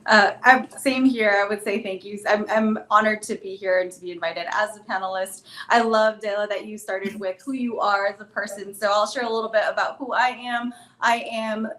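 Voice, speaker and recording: A woman from Hawaii speaks on a design panel with a middle pitch. She talks thoughtfully and often restarts her sentences. The room around her sounds echoey. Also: fairly even intonation, normal speed, a bit bright. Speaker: panelist, design firm president. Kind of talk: casual panel chat.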